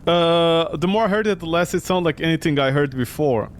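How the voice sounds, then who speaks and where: A man reads out a tough apartment tenant in a horror game, wary of everyone. He talks in blunt, slangy bursts, with a faint rumble behind. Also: brisk, lightly acted.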